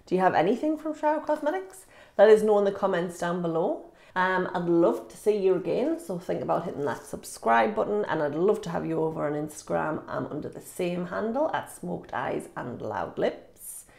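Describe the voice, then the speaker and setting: Aussie woman doing a chatty makeup vlog, stuffed-up nose, lots of vocal fry, echoey room. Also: fairly low pitch, expressive, moderate pace, a little mellow. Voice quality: congested, nasal. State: sniffly, congested. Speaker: beauty vlogger. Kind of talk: casual chat to camera, makeup review.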